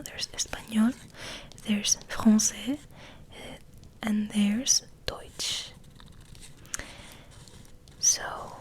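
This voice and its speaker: Female ASMR host. Near-constant breathy whisper, even and unhurried, gentle delivery. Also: fairly high pitch. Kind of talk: ASMR, soft rambling chat and reading aloud.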